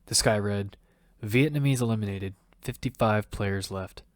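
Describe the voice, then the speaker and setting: A man's voice: fairly low pitch, moderate pace, no room sound, studio quality, natural voice. A man playing a blunt young survivor of a deadly battle game, narrating his own story in an audio drama. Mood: grave narrating. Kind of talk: first-person narration in an audio fiction. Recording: music loud behind the voice.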